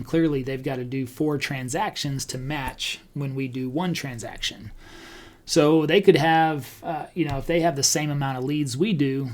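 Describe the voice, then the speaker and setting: A man talking casually as a podcast host, conversational and thinking out loud as he goes. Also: medium pitch. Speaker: podcast host and real estate agent. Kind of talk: casual talk about online video marketing.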